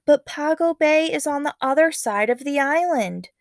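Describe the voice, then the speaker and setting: A woman's voice: high-pitched, lively intonation, normal speed, no room sound, studio quality, voice pushed up. A woman voicing an earnest, wondering young island maiden in a storybook. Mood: puzzled. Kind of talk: storybook read-aloud character.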